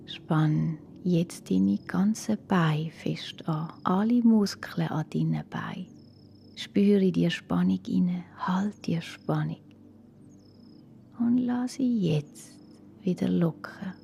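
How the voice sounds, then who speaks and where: A woman with a Swiss German accent guiding a relaxation meditation in a calm, soothing, hushed and breathy voice. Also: lowish voice, takes it slowly. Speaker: guided relaxation instructor for children. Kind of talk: slow guided yoga nidra meditation. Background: soft music.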